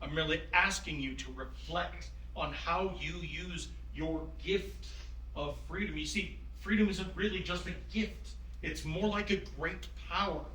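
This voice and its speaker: Man acting as genial wise founding father, courtly measured phrasing, high bright voice, staged reading, distant roomy recording. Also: slight background noise. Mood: wise, gentle. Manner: courtly, old-fashioned phrasing, measured and avuncular. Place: room at a live staged reading.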